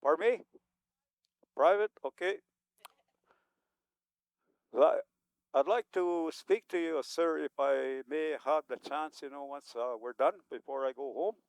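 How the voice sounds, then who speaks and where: A man's voice: fairly high pitch, a little slow, pauses often, no echo, low-quality recording. A man in his seventies giving rambling, unscripted remarks at a community event, chuckling often as he talks. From Manitoba, Canada. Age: 70s.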